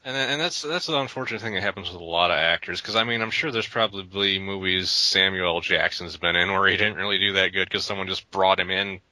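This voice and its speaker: A man's voice: low voice, quick pace, few pauses, sharp tone, rough recording. A man co-hosting a casual gaming podcast, talking in long rambling runs with frequent restarts. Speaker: podcast co-host.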